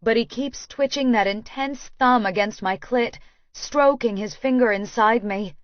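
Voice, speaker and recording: A woman's voice: fairly high pitch, measured pace, dry recording, dull audio. A woman narrating a first-person romance audiobook.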